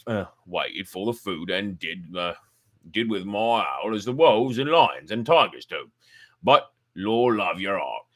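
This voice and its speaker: A man voicing a gruff, blunt elderly male zookeeper, loud and hearty and stumbling over dialect words. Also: lowish voice. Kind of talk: amateur audiobook reading.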